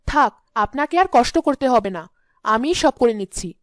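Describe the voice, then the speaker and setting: Lady doing stuck-up nagging wife in a cartoon, high voice, dry close sound. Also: animated, normal speed, no room sound, close to an everyday voice. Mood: falsely sweet. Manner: haughty, put-upon. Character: proud, selfish, nagging village wife.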